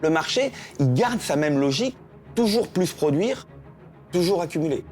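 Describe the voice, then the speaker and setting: Man with lively, higher-pitched delivery. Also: moderate pace, soft background music. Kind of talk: interview commentary in a documentary film.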